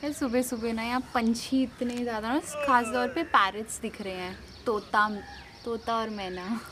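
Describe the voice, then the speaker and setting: A woman's voice: on the high side, fairly even intonation, normal speed. A young woman hosting a casual vlog, talking to the camera held at arm's length on an open rooftop terrace, explaining things to her viewers. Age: young adult. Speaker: vlog host. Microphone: handheld camera at arm's length. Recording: noisy outdoor ambience.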